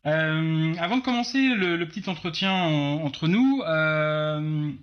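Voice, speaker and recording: A man hosting a casual interview over a video call, speaking in a halting way with many restarts. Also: fairly high pitch, animated. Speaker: interviewer host. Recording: dull lo-fi call audio.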